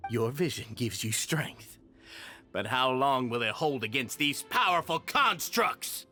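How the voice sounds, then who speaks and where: An actor playing an arrogant, cruel prison villain in a video game, theatrical and full of contempt. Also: male voice, medium pitch, expressive, unhurried. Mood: bitter, menacing. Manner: theatrical, contemptuous, swinging to shouts. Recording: game music behind the voice.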